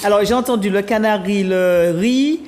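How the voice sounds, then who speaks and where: A woman's voice: mid-range voice, moderate pace, a little mellow. A woman hosting a TV interview, asking playful, teasing questions. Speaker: TV host. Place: TV studio. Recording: reverberant room with faint noise.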